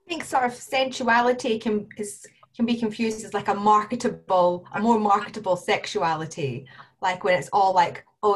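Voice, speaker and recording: A woman from Scotland, a guest on a podcast panel, speaking in a casual, rambling way full of fillers. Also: mid-range voice. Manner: chatty, rambling, many "like"s. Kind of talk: casual conversation.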